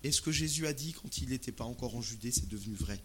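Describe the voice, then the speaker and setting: Male preacher, medium pitch, informal and expressive sermon delivery, live recording with light background noise. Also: quick pace. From Alsace, France. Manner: expressive, uses rhetorical questions and acted-out asides. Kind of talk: sermon in conversational, colloquial language.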